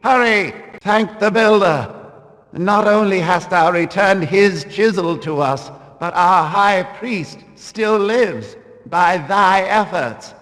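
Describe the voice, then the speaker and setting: An actor playing a solemn, devout warrior-priest in a fantasy game, speaking in formal, archaic phrases. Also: male voice, sits high, slow pace, fairly bright tone. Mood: solemn, grave. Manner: archaic, formal, ceremonious speech. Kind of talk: scripted fantasy video game voice acting. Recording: long reverberant hall echo.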